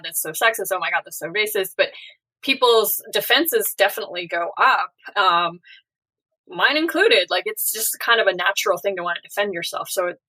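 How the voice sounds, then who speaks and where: A woman's voice: mid-range voice, sharp tone, no room sound, poor audio. A woman hosting a podcast in casual chat, candid and self-deprecating. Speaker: podcast host.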